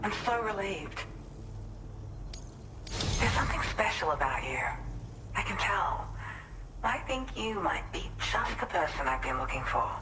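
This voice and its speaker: An actress playing an earnest, intuitive mystic who speaks with portent in a video game cutscene. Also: female voice, mid-range voice, big pitch swings, measured pace, pauses often, background noise. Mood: earnest, relieved. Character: earnest, intuitive woman mystic greeting her rescuer.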